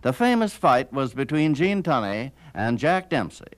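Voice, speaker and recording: A man's voice: mid-range voice, big pitch swings, normal speed, very noisy. An American man delivering scripted documentary narration as the narrator of a radio history programme.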